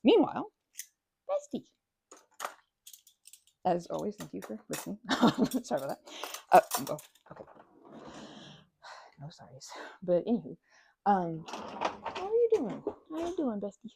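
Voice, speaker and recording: Woman, mellow and creaky voice, lively pitch swings, playful scatterbrained chatter, ASMR best-friend roleplay. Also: a little slow, pauses often, a little hiss or hum. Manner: scatterbrained, playful, rambling from topic to topic.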